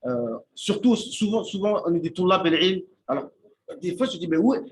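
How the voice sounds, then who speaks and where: A man's voice: sits high, expressive, rough recording. A man giving an informal religious lesson at a shared meal with friends, thinking aloud with many restarts and often checking in with his listeners. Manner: halting, thinks aloud, repeats and restarts words; checks in with listeners, asks "d'accord?". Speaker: Islamic teacher giving a talk on Arabic.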